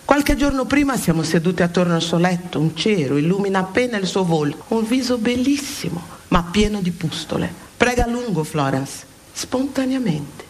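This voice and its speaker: An actress playing an impassioned heavenly examiner, lecturing souls with emphatic, theatrical delivery and dramatic pauses in a radio play. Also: female voice, medium pitch, animated, a little mellow, slight background noise, close to an everyday voice. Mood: grave, narrating.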